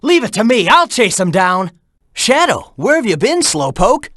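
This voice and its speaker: An actor voicing a cocky, upbeat teenage hedgehog hero in a video game, cheeky and encouraging. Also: male voice, high voice, moderate pace, no echo, studio quality, full character voice. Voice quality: youthful, bright, energetic. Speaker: video game character voice clips. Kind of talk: game voice acting, mission hints. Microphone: dry studio mic.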